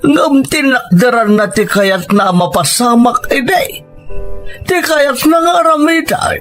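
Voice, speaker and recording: Actor for elderly supportive Ilocano father, radio drama. Very slow, emotional delivery over faint music. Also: high voice, a bit bright, exaggerated voice.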